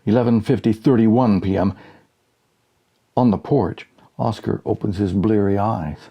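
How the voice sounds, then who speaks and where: A man narrates a comic short story in a dark, husky voice. His delivery is deadpan, building mock suspense through dramatic pauses, with a gravelly edge. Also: on the low side. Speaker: audiobook reader. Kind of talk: scripted humorous story narration.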